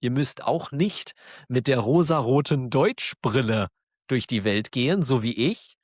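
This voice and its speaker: A man with a German accent, hosting a podcast for learners, talking slowly and clearly in a warm, encouraging way and laughing now and then. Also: mid-range voice, expressive, dry recording, studio quality. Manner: encouraging, self-deprecating, laughs often. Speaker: German teacher, podcast host. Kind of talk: slow, clear monologue for learners.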